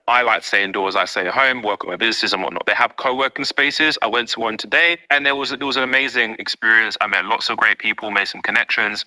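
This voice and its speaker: A man's voice: medium pitch, bright, forceful tone, low-quality recording. A young British man from the UK talking casually to camera from his home, chatty and direct with frequent fillers and rhetorical asides. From United Kingdom. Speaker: vlogger.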